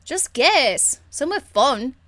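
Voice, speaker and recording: A woman's voice: high-pitched, sing-song, takes it slowly, pushed and bright, no room sound, voice pushed up, heavily acted. A woman voicing a cheeky, crude young elf thief in a video game, with a blunt, teasing, informal manner. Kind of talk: video game character acting.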